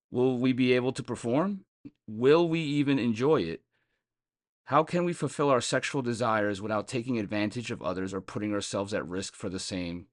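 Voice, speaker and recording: A man's voice: on the low side, dry recording, studio quality. A man reading a scripted daily meditation as a reader on a recovery podcast.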